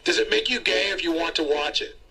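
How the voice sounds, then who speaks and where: A man's voice: high voice, a little fast, heavy background noise. A man on staff at a radio show, casually reporting office gossip on air.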